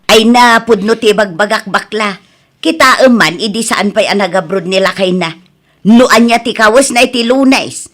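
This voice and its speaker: A woman's voice: mid-range voice, normal speed, close to an everyday voice. A woman with an Ilocano accent playing a snide, envious, stubborn village housewife in a comedy radio drama, scoffing and insistent.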